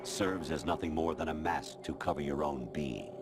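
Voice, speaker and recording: A man voicing a cold, condescending artificial intelligence in a video game cutscene, lecturing in a measured, disdainful way. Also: a bass voice, gravelly. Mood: cold contempt. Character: cold, condescending male artificial intelligence posing as human authority. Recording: loud music behind the voice. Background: loud music.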